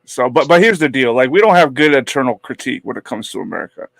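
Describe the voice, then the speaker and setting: A man's voice: medium pitch, animated, fast, vocal fry, a bit bright, no echo. A man in his forties joining a live stream as a guest, making blunt, argumentative points. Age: 40s. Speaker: guest caller. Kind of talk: casual live-stream debate.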